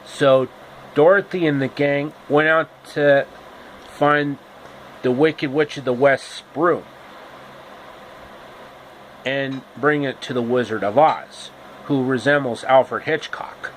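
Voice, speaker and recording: A man telling a story informally, with halting, deliberate phrasing and casual asides. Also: mid-range voice, steady tone, slight background noise, poor audio. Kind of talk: informal, improvised storytelling with pop-culture asides.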